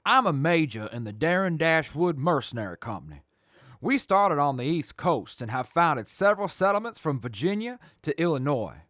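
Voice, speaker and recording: A man's voice: fairly high pitch, sing-song, normal speed, a bit bright, no echo, clean studio sound. A man playing a composed, honorable mercenary major, speaking formally and earnestly in an audio drama. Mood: proud, earnest.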